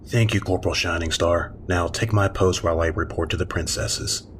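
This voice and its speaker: An actor playing a huge, stoic hellhound mercenary in a fan audio drama, speaking in a terse, grave, commanding way. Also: male voice, low voice, fairly even intonation, normal speed, a bit bright, a little hiss or hum. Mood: stern. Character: huge stoic male hellhound mercenary, gruff but protective.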